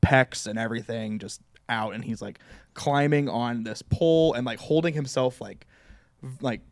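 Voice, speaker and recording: A man's voice: medium pitch, moderate pace, dry recording. Dry-miked man telling jokey stories. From Missouri, USA. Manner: joking, storytelling with asides. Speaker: podcast co-host. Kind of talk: casual chat.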